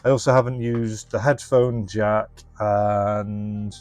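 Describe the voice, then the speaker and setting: A man with a fairly low voice presents a casual hands-on demonstration. He explains things informally, thinking aloud as he works through each step. Some music can be heard with his voice. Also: steady tone, takes it slowly, darkish tone. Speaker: presenter and reseller of restored retro electronics.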